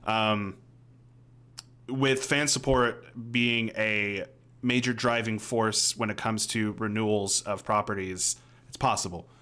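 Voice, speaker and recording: A man's voice: lowish voice, fairly even intonation, slow, pushed and bright. A man, chatting casually as a podcast co-host on a video call. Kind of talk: casual chat. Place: home room, video call.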